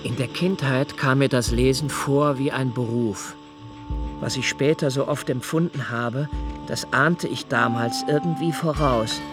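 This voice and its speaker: A pensive, sensitive man played by an actor narrates his memories as in a literary radio play, over music. His delivery is reflective and deliberate, and it swells into intensity. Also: medium pitch, natural voice. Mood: fervent.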